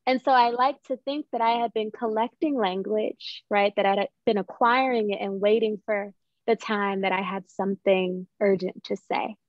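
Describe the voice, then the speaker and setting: A young woman speaks as an interview guest, recounting her life reflectively. Her pitch sits in the middle and her phrasing is measured and thoughtful. The sound is dry and a little dull, as on a video call. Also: steady tone, dry recording. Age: young adult. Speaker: guest playwright and poet. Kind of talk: reflective personal storytelling. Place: small room at home, on a video call.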